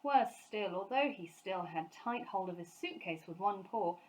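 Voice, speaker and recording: A woman narrating a children's story in an expressive, scripted storytelling style. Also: medium pitch, a little slow, rough recording.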